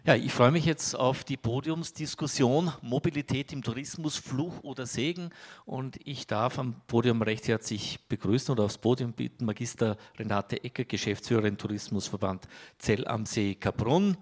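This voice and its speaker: A man's voice: mid-range voice, normal speed. A middle-aged man moderating a panel in a conference hall, speaking politely and formally into a handheld mic.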